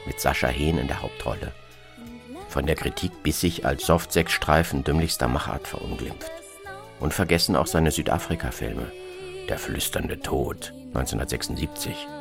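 A man presenting in a scripted documentary narration style, with a dry, sardonic manner. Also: a bass voice. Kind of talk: scripted documentary narration about radio plays. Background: music.

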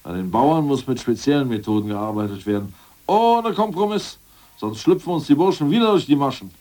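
A man's voice: medium pitch, expressive, a little fast, distant mic, close to an everyday voice. A man playing a scheming ousted party boss. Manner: boastful, plotting, swings from muttering to loud outbursts. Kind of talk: 1980s radio play acting.

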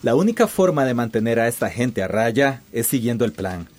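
An actor playing an imperious, scornful company boss in a radio drama. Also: male voice, mid-range voice, very animated, a little fast, darkish tone. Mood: threatening. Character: domineering company boss, contemptuous and threatening.

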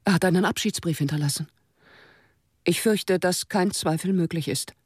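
A husky, grave mystery character. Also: male voice, sits high, normal speed, dry recording, clean studio sound. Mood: grave, regretful. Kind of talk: German radio drama dialogue.

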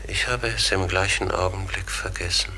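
An actor playing a melancholy, pensive man in a radio play, speaking haltingly and often sinking to a near-whisper. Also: deep voice, steady tone, bright, forceful tone, slight background noise, exaggerated voice, rough recording. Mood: wistful, hushed. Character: melancholy brooding man who believes he was a raven. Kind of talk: German radio play acting.

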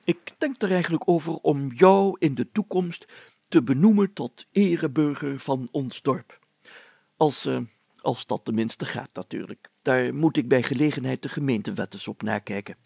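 A pompous village mayor from a storybook, acted out by a male reader, speechifying to his townsfolk. His voice is raised and gravelly, with self-important hesitations. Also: mid-range voice, lively intonation, normal speed, darkish tone, no echo, pitched above the performer's own voice.

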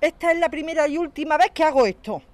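Curt, evasive woman, acted, slightly high voice. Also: quick pace, a little mellow, lightly acted. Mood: tense, stern. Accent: Spanish. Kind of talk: radio soap opera dialogue.

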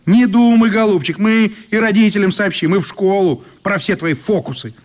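An actor playing an officious, irritated children's camp director in a radio play, bossy, stern and lecturing. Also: male voice, high-pitched, moderate pace, a little mellow, natural voice. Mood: indignant, threatening. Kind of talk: radio drama acting.

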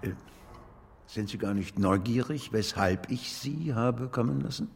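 An actor playing an ailing older gentleman, an earnest spiritualist, speaking formally and gravely with some hesitation in a German radio drama. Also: mid-range voice, measured pace, big-room sound.

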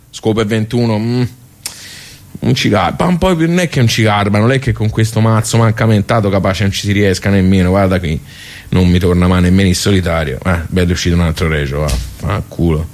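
Radio play: grumbling Tuscan social-club old-timer, played by a male actor, low voice, halting, nostalgic. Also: close to an everyday voice. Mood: stubborn, grumbling. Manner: rambling, halting, muttering asides. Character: grumbling, simple, nostalgic ageing regular of a village social club. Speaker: actor in a radio theatre monologue. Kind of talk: colloquial theatrical soliloquy.

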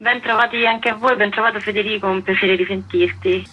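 Woman, raspy mid-low voice, radio interview guest, explanatory delivery. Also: fairly even intonation, fairly quick, dull audio. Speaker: interview guest, project presenter.

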